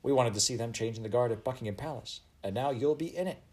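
A man voicing a bold, eager, talkative young girl with an excitable, forthright manner in a storybook reading. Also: mid-range voice, quick pace, pitched above the performer's own voice, lightly acted.

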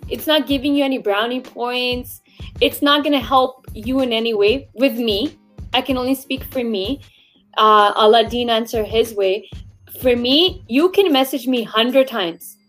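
A woman's voice: fairly high pitch, fairly bright tone. A woman recruiter co-hosting a livestream career discussion, talking in a blunt, emphatic, conversational way. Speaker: recruiter and show co-host.

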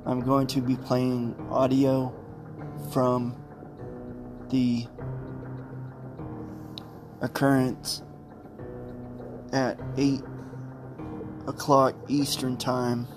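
A man hosting a podcast, informally signing off in short, halting chunks. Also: medium pitch, monotone, music in the background. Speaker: podcast host.

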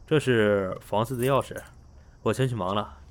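A man acting a brisk, matter-of-fact landlord in an audio drama. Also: lowish voice, natural voice. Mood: businesslike.

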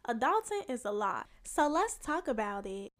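A woman in her twenties hosting a casual podcast, lively and quick to react. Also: a little high. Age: 20s. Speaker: podcast host.

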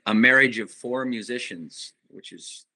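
A middle-aged man, a band singer, giving reflective interview answers and pausing often to find his words. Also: mid-range voice, pushed and bright. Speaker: musician guest, band singer.

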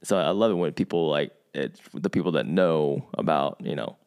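Man, very low voice, podcast co-host, casual chat, thoughtful, frequent restarts. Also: moderate pace, clear, resonant tone. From United States, grew up in Virginia. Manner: thoughtful, many restarts and asides, animated when quoting.

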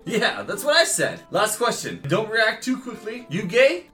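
A brash, swaggering outlaw, played by a man who talks bluntly in a lively, bouncy voice that sits a little high. Some faint music plays underneath him. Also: measured pace, exaggerated voice.

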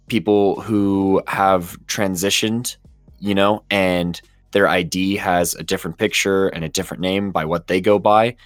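A man co-hosting a podcast, his voice hoarse, speaking with passion to persuade listeners. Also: low-pitched, dry recording. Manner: impassioned, persuasive. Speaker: podcast co-host. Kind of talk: casual opinion talk.

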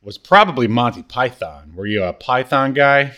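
Male podcast co-host, mid-pitched, casual chat delivery with playful hyperbole. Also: animated, moderate pace, bright, forceful tone.

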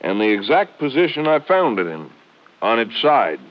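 An actor playing an earnest small-town Texas sheriff with a folksy manner in a 1950s radio drama. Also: male voice, medium pitch, very animated, normal speed, natural voice. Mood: earnest, concerned. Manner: folksy, plain-spoken. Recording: old muffled radio recording, faint noise.

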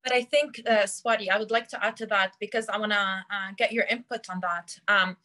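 Woman host; bright, tense tone, earnest and rambling. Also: mid-range voice, steady tone, a little fast. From Lebanon. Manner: earnest, rambling question with restarts. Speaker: podcast host and interviewer. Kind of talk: conversational interview question.

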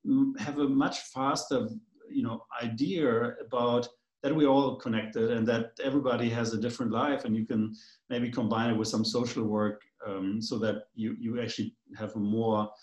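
A man's voice: medium pitch, fairly even intonation, moderate pace, darkish tone, reverberant. A man with a German accent, a guest in a casual conversation, talking in a rambling, hesitant way with many fillers.

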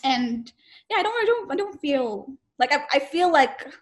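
Chatty woman, higher voice, laughing. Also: big pitch swings, moderate pace. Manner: laughs often, many "like" fillers. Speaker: interviewer. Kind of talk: casual chat.

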